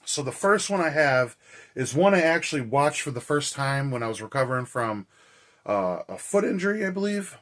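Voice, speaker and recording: A man with a hoarse, raspy, tired voice, hosting a vlog in a casual, rambling, slangy way. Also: mid-range voice, sing-song, moderate pace, fairly bright tone. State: hoarse and tired after a cold and shouting. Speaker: horror movie reviewer and vlog host. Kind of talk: casual chat to camera.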